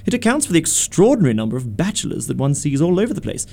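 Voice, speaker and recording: A flippant, idle young English gentleman in a Victorian radio comedy, acted by a man. He talks in arch, ornate phrases, his slightly high, mellow voice swinging up and down. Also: sing-song, rapid-fire, gravelly, heavily acted. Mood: mock-stern, lofty. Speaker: amateur radio theatre actor. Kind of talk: radio play acting of a Victorian comedy of manners.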